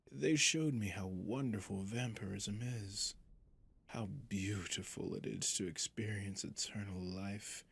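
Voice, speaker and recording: A man playing a sadistic, seductive vampire in an ASMR roleplay, smug and world-weary, his breathy voice often sinking to a whisper as he savours his words with intimate condescension. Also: on the low side, a bit bright, heavily acted. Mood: smug, persuasive. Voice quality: breathy, husky, gravelly, often dropping to a whisper. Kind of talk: scripted ASMR roleplay monologue.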